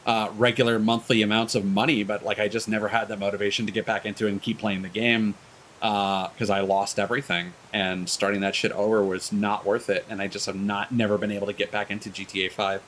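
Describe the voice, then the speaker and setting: A man with a somewhat deep voice talks off the cuff as a game streamer. His delivery is hesitant and discursive, doubling back on phrases. A light background hiss runs under the recording. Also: level tone, fast talker, a bit bright. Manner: hesitant, discursive, stop-and-start phrasing, casual swearing. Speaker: video game streamer, host. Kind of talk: casual rambling chat while streaming a game.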